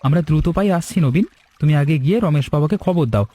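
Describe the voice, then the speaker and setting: Man playing a steady, mellow young village husband. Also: a little high, fast talker, natural voice. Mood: decisive. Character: calm, responsible young village husband and son-in-law. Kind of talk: Bengali cartoon story dialogue.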